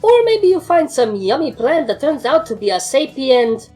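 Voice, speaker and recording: Woman acting a bossy, animated pony adventurer. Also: high-pitched, moderate pace, faint music behind, voice pushed up. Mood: sarcastic. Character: assertive, sarcastic female pony adventurer named Aether.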